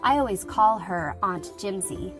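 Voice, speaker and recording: A woman playing a lively young schoolteacher reading out her letter in a conversational, wryly humorous way, in an acted audiobook. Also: medium pitch, measured pace, close to an everyday voice. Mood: eager, friendly. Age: young adult. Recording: music playing behind the voice.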